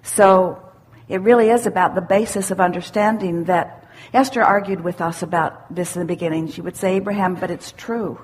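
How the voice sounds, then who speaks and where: A woman giving spiritual self-help teaching to a questioner, assured and deliberate, repeating phrases for emphasis. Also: fairly low pitch, fairly even intonation, darkish tone, slight background noise, low-quality recording. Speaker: speaker leading a question-and-answer workshop.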